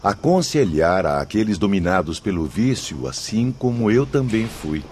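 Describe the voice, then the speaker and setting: An actor playing an anguished, earnest spirit of a repentant gambler in a radio drama. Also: male voice, on the low side, big pitch swings, moderate pace, darkish tone, slight background noise. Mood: earnest, pleading.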